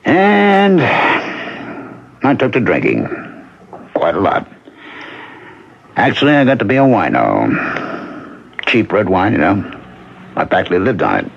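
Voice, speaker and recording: An actor playing a gentle, plain-spoken widowed drifter with a halting, conversational manner in an old-time radio drama. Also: male voice, fairly low pitch, huge pitch swings, gravelly, slightly rough, full character voice. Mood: sorrowful, confiding. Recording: muffled vintage radio broadcast.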